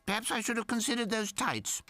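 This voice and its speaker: An actor playing an eccentric, dry-witted English amateur astronomer, narrating in a wry, old-fashioned, well-spoken manner. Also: male voice, very high-pitched, lively intonation, fairly quick, sharp tone, a little hiss or hum, exaggerated voice. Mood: wry, rueful. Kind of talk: radio drama narration and dialogue.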